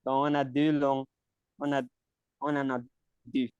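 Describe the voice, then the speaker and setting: Smooth dry-voiced Cameroonian man. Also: mid-range voice, level tone, unhurried, darkish tone, dry recording, muffled recording. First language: English. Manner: hesitant, repeats words, laughs between phrases. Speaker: conversation group leader. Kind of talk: casual language practice chat.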